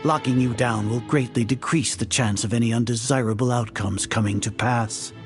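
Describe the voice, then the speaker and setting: An actor playing a haughty, older scholarly leader in a video game, lecturing with grandiose contempt. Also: male voice, mid-range voice, fairly even intonation, normal speed, music in the background, close to an everyday voice. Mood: condescending. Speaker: grand sage of an academy.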